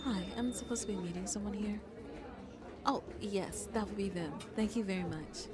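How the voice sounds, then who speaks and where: A woman playing a shy, sweet vampire on a first date in an ASMR roleplay, soft-spoken and hesitant, often dropping to a whisper. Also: mid-range voice, moderate pace, close to an everyday voice. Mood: friendly, nervous. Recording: crowd chatter in the background. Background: crowd chatter.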